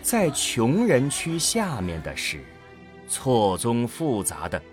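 Slow, expressive male storyteller. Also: mid-range voice, faint music behind. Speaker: narrator. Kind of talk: scripted audio drama narration.

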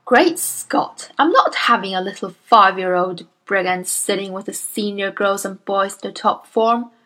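A woman voicing a huge, bullying female headmistress who barks and shouts with scorn. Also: medium pitch, measured pace, natural voice. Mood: bellowing scorn.

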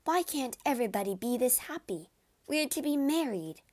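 A woman voicing a gentle, sweet-natured young woman who speaks softly and earnestly, pitched above her own voice. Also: animated, normal speed, lightly acted. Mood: overjoyed.

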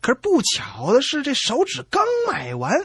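A man co-hosting a comedy radio show, telling jokes and reacting in an exaggerated, excitable way. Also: very high voice, moderate pace, slightly rough, dry recording, studio quality. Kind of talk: playful scripted joke-telling banter.

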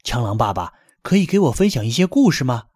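A man voicing an eager, polite rabbit father in a bedtime story, asking quickly and earnestly. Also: medium pitch, sing-song, a little mellow, dry recording, studio quality.

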